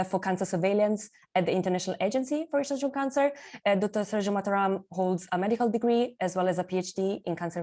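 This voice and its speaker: Fast-talking woman moderator, quick intros, some ums. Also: medium pitch, darkish tone. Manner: brisk, with fillers. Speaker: webinar moderator. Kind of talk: introducing speakers. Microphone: video call.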